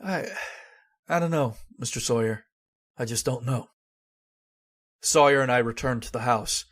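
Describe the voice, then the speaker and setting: A man's voice: mid-range voice, measured pace, a bit bright. A man playing a skeptical but haunted narrator in an old-time radio horror drama. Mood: uneasy.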